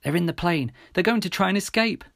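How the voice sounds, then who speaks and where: A man's voice: medium pitch, expressive, normal speed, fairly bright tone, no room sound, clean studio sound, close to an everyday voice. A man voicing a brave, urgent teenage boy in an audiobook. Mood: panicked. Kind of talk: audiobook character voice.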